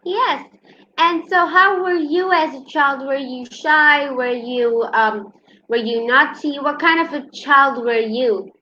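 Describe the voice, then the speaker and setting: A woman's voice: high-pitched, slow, pushed and bright, a little hiss or hum. A woman hosting an interview, asking her guest polite, formal questions. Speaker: interview host. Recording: dull lo-fi audio.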